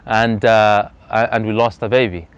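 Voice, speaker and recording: A man, telling his personal story as an interview guest outdoors in earnest, reflective, measured speech. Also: lowish voice, little pitch movement, smooth. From Zambia. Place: outdoors on a freezing day. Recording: slightly dull lo-fi outdoor recording.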